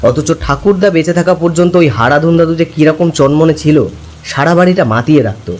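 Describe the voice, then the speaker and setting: A man's voice: fairly high pitch, quick pace, a little mellow, lightly acted. A man playing a talkative, caring young friend in a cartoon story, chatty and familiar. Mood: explaining, concerned.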